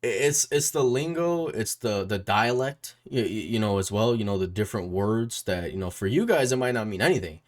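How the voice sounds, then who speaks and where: A man's voice: fairly low pitch, expressive, moderate pace, few pauses. A man hosting a casual interview as a music YouTuber, rambling, repeating his phrases and often checking in with a questioning tag. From Puerto Rico or Puerto Rican family.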